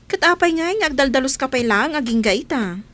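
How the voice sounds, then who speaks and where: A woman's voice: high voice, lively intonation, brisk, a bit bright. A woman with an Ilocano accent playing a nosy, reproving office accountant in a comedy radio drama. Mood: inquisitive. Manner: questioning, reproving. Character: stern nosy female office accountant, a superior.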